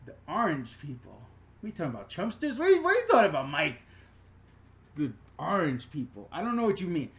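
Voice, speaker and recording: Animated, profane New York-accented man. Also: a little high, fast talker. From New York/New Jersey. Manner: animated, profane, rambling with digressions and self-corrections. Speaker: channel host answering live chat questions. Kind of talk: casual live-stream Q&A.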